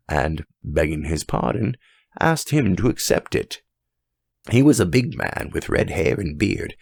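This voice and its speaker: A man voicing a dignified, rational judge telling a story in a grave, measured and formal manner for an audiobook. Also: fairly low pitch, vocal fry, dry recording, clean studio sound, voice pushed up, full character voice. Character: dignified, weighty, rational male French judge.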